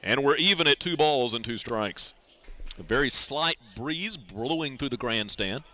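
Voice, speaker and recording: Bright-voiced man calling baseball on radio. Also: mid-range voice, expressive, a little slow, slight background noise. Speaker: radio play-by-play announcer. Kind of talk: live baseball radio commentary.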